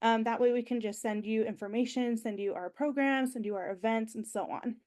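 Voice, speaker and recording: A young woman with a slightly higher voice talks through an orientation presentation, chatty and enthusiastic. Her voice sounds nasal as she gets over an illness, and she fills gaps with ums and likes. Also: fairly even intonation, brisk, darkish tone. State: recovering from being sick. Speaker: university alumni relations staff presenter.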